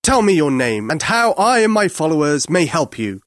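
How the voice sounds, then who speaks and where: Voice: medium pitch, sing-song, moderate pace, no echo, studio quality, close to an everyday voice. A storyteller voicing a hearty, well-meaning male outlaw leader in a children's story, bold and declaiming. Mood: proud, gallant.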